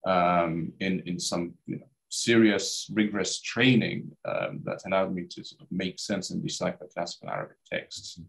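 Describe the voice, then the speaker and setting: A man's voice: deep voice, lively intonation, normal speed, vocal fry, hardly any pauses, fairly bright tone, low-quality recording. A man in his thirties from Iraq, a scholar speaking in a video-call interview from a study with bookshelves, hesitant with many fillers and restarts. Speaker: guest scholar. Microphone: webcam on video call.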